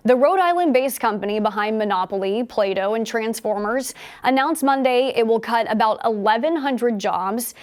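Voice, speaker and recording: An American woman working as a news anchor, reading scripted news in a measured, formal newsreader delivery. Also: fairly high pitch, darkish tone.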